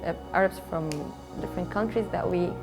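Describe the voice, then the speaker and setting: A woman's voice: lowish voice, steady tone, brisk, creaky. Young woman from Syria over background music. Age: 20s. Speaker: documentary interviewee. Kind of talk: personal interview, recollection.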